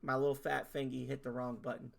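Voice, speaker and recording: A man, a streamer closing his stream in rambling, self-deprecating casual chat, his voice hoarse as he loses it. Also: medium pitch, little pitch movement, quick pace, loud music behind the voice.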